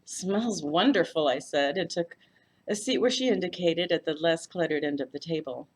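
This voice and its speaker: A woman's voice: low-pitched, animated, normal speed. A woman reading a first-person mystery story aloud as a volunteer narrator. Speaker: volunteer audiobook reader.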